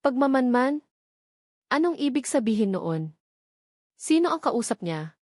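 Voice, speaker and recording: A woman's voice: high-pitched, big pitch swings, a little slow, a little mellow, no room sound, studio quality, pitched above the performer's own voice, heavily acted. Woman as a polite, uneasy young lady doctor. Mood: puzzled, uneasy. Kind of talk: storybook character dialogue.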